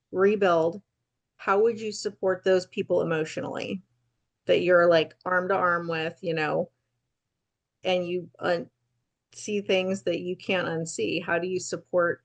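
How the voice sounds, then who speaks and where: A woman's voice: fairly low pitch, muffled recording. A woman moderating a town hall, phrasing her questions in a searching, hesitant way. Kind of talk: interview questions.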